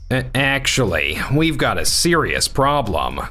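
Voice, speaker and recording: Man doing an earnest construction manager, lively voice, explaining, manga dub, faint music. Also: medium pitch, measured pace. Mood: worried. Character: worried middle-aged male construction manager.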